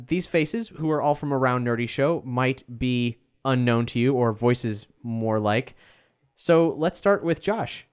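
A man's voice: mid-range voice, clear, resonant tone. A man hosting a podcast, chatting casually to introduce a group. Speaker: podcast host. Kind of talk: casual hosted group chat.

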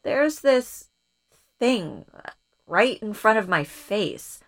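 A female voice of medium pitch with big swings in tone and a slow pace. a drowsy, sensible woman in an audio drama, trapped and uneasy, speaking in hesitant exclamations. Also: very animated, a bit bright, full character voice. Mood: puzzled, hazy.

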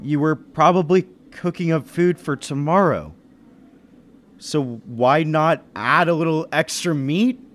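An actor playing a level-headed businessman who narrates a murder mystery in old-time radio style, measured and reasoning. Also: fairly high pitch, fairly bright tone. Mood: calm, reasoning. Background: music behind the voice.

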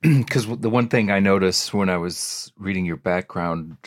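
A man with a low voice asks an interview guest long, meandering questions and softens them with hedges. His voice sounds close and dry, with no room echo. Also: normal speed. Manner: rambling, hedging questions.

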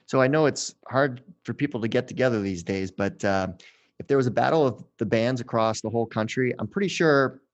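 A man's voice: mid-range voice, fairly quick, muffled recording. A middle-aged man hosting a podcast interview, chatting in a conversational, loosely phrased way. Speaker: podcast host. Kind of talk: casual interview chat.

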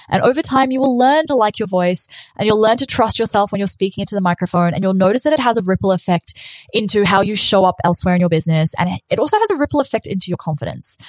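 A young woman with a New Zealand accent chats upbeat and self-assured, hosting her own podcast. Her voice sits at an ordinary middle pitch. It is recorded close and dry, with no room around it. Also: no echo. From South Africa, raised in New Zealand. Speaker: podcast host. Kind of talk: casual solo podcast talk. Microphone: studio podcast microphone.

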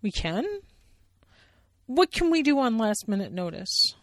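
A woman's voice: a little slow, no echo, studio quality. Woman putting on a fretful young girl bear. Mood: fretting. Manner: excitable, high and loud.

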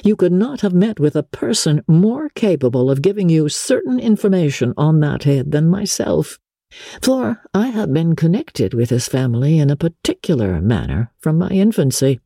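A woman voicing a genteel, smooth-talking young gentleman officer in a dramatic audiobook, courteous, confiding and a little hesitant. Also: low-pitched, big pitch swings, moderate pace, dry recording, clean studio sound, heavily acted. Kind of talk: dramatic audiobook character reading.

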